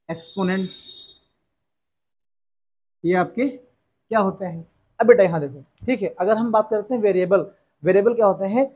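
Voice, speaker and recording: High-voiced man teaching maths to students. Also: darkish tone. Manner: repetitive rhetorical questions, addresses students as "beta". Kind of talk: classroom lesson explanation.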